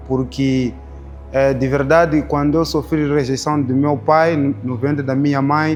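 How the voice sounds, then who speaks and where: A man in his twenties with a Mozambican Portuguese accent, giving an earnest, reflective testimony in an interview and pausing on small fillers. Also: on the high side. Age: 20s. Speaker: Bible school student. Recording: soft music underneath.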